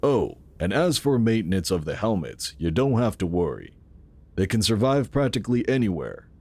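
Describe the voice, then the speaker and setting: A man's voice: lowish voice, sing-song, normal speed, a little mellow, husky, exaggerated voice. A man playing a benevolent, reassuring founder in a sci-fi audio drama, speaking with formal courtesy. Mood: benevolent assurance. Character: benevolent, reassuring male founder of futuristic organization.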